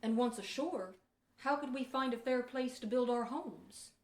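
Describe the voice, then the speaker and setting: A woman's voice: a little high, unhurried, slight background noise. A woman acting an aggrieved but dutiful male Pilgrim passenger in a historical audio play, with earnest, rustic archaic speech. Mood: worried. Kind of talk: amateur audio theater historical drama acting. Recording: echoey room.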